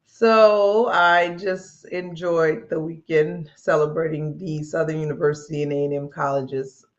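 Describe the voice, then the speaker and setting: Slightly raspy, easygoing woman co-host. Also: low voice, fairly even intonation, unhurried, lo-fi sound. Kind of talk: casual live-stream chat.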